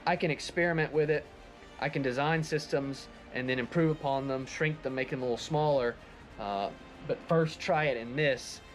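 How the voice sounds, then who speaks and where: A man giving a casual, enthusiastic recap of a craft project as a hobbyist video presenter. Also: fairly high pitch.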